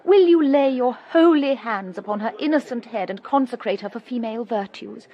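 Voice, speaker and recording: A woman's voice: on the high side, normal speed, lo-fi sound, natural voice. An actress playing a flirtatious, theatrical society lady in a period radio drama, silky, mock-pious and provoking. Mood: grandly pleading.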